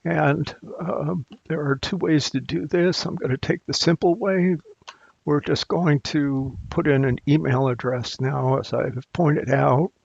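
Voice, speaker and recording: A man with a gravelly, raspy voice walks through a software tutorial. He takes his time, thinking aloud and halting between phrases as a support presenter working off the cuff. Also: a little high, steady tone, no room sound, highs cut off. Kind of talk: unscripted software screencast walkthrough.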